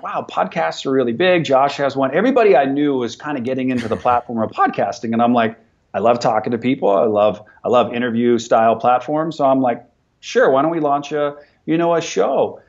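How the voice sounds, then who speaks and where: A man's voice: mid-range voice, a little fast. Middle-aged businessman guest, upbeat and motivational, restarts his sentences, dull lo-fi recording. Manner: energetic, motivational, repeats words when starting sentences. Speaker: business owner and podcast guest. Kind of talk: conversational interview.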